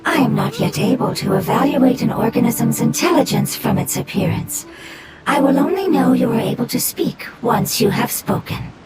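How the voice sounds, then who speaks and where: A woman's voice: fairly high pitch, lively intonation, lightly acted, rough recording. A woman voicing a small talking fungus creature who speaks formally and takes everything literally. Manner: formal, measured, oddly literal. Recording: game audio over loud music.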